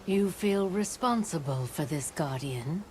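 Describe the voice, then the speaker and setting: An actress playing an ancient, serene watcher in a video game, speaking formally, gravely and cryptically. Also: female voice, low voice, lively intonation, measured pace, slight background noise. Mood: solemn. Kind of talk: scripted narration of ancient lore. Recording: loud music behind the voice. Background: music.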